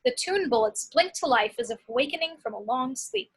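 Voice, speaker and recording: A woman's voice: sits high, lively intonation, a little fast, slightly rough. A woman reading screenplay action and scene headings as scripted narration.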